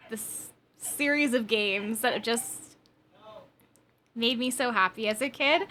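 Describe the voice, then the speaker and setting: A woman's voice: a little high, bright, forceful tone. A woman in her twenties chatting casually with viewers on a game livestream, with a chatty, bubbly, giggly manner. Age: 20s. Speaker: game streamer.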